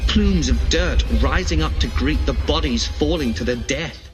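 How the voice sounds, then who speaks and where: A man narrating a space saga audio drama in a grave, measured, ominous manner. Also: a little high, over music, close to an everyday voice. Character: solemn male storyteller of a space saga.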